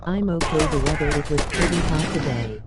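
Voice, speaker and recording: A synthetic woman's voice reading chat messages aloud, emoji names and all, in an even machine delivery. The pitch sits very low for a woman. Loud music plays underneath. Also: brisk. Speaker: text-to-speech chat reader.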